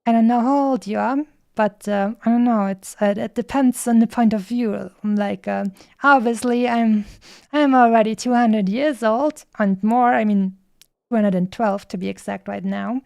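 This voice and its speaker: A woman with a mellow, mid-pitched voice and plenty of vocal fry chats casually as she streams a game. She muses and rambles playfully into a close, dry microphone. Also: moderate pace, no echo. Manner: musing, playful, rambling asides. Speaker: streamer playing a video game. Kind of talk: casual livestream chat and commentary.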